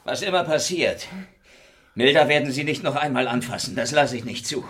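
A man's voice: medium pitch, expressive, normal speed, a bit bright, lightly acted. An actor playing a defiant, sarcastic secret policeman in a radio drama, with a biting manner. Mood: cold, bitter.

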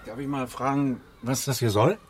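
A man's voice: medium pitch, steady tone, normal speed, noisy background, natural voice. An actor playing a casual but probing man in a radio drama, asking politely with small hesitations. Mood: wary, polite. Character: casual, probing man, the girlfriend's new housemate. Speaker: actor in a crime radio play. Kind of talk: naturalistic radio drama acting.

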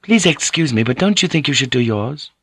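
A gentle woman of a historical storybook, acted out by a male narrator, speaks in a raised, lilting voice. She is deferential and earnest, apologizing politely as she coaxes, in a close, dry recording. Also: mid-range voice, animated, a little fast, husky, no room sound, pitched above the performer's own voice. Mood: earnest, insistent. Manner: polite, apologetic, careful foreign-sounding English. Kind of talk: audiobook character voice.

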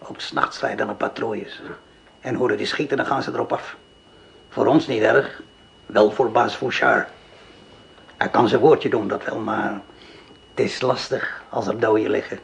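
An actor playing a gruff, blunt accomplice in a radio play. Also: male voice, on the low side, fairly even intonation, normal speed, pauses often. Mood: calculating. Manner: blunt, down-to-earth. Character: gruff practical male accomplice.

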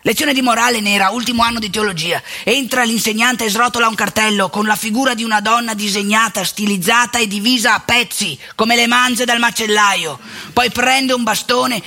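Woman acting gravelly, candid old priest, bright and forceful. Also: a little high, full character voice. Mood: indignant. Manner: colloquial, confiding, emphatic, with dramatic pauses. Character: candid, indignant elderly male priest recalling seminary youth. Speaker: stage actor and monologuist. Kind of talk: theatrical storytelling monologue for radio theatre.

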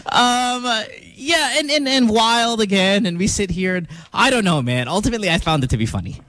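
A man hosting a casual radio panel chat, talking in a joking, rambling, animated way. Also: high-pitched, fairly quick, no room sound. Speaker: radio show host.

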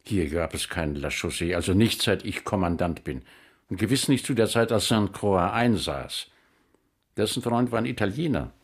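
An actor playing a terse, matter-of-fact prison commandant in a radio crime drama. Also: male voice, low-pitched, expressive, moderate pace, husky, heavily acted. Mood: curt, offhand. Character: male prison commandant, curt, offhand, dismissive of prisoners.

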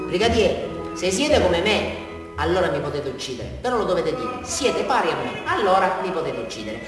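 A man acting an unhinged small-town murderer with a southern Italian accent, confessing to a police brigadier in a rambling, insistent, taunting way in a theatrical radio drama. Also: high-pitched. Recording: echoey hall from far off, faint music.

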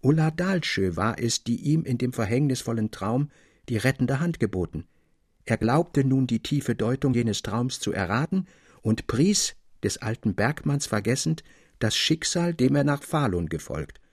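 A man's voice: mid-range voice, animated, a little slow, husky, no room sound. Male literary narrator, very dry studio sound. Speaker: narrator, audiobook reader. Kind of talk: expressive literary narration of a classic tale.

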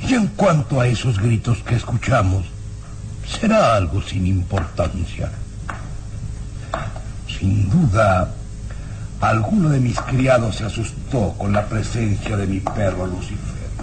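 An actor playing an arrogant, cold baron in an old radio drama, speaking in a haughty, deliberate way. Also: male voice, fairly low pitch, expressive, a little hiss or hum, poor audio. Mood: dismissive. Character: arrogant, menacing baron of a castle, cold and callous.

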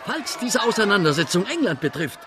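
An actor playing a scheming detective who speaks with fawning, ingratiating politeness. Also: male voice, high voice, big pitch swings, a little fast, heavy background noise. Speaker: Detective Fix.

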